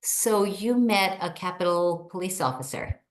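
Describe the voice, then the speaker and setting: A woman's voice: fairly low pitch, unhurried, poor audio. A middle-aged woman hosting a short interview over a video call, introducing her guest and asking questions in an upbeat, enthusiastic way. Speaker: host, state senator.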